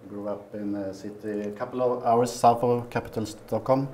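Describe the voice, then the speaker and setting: A Swedish man in his fifties, a guest in a small TV studio interview, talking haltingly with many fillers. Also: fairly low pitch, flat delivery, dark tone, slight background noise. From Sweden. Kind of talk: casual interview.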